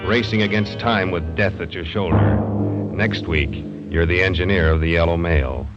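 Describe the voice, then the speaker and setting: A man with a very deep voice delivers an ominous, dramatic teaser. Loud music with timpani and guitar surges behind him. The sound is dull and muffled, like an old broadcast. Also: moderate pace. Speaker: radio announcer.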